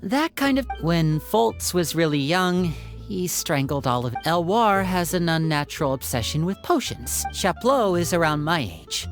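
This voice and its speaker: A woman acting a composed, protective young magician in video game dialogue, explaining things smoothly. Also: fairly low pitch, very animated, a little slow, few pauses. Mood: reassuring. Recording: faint music behind.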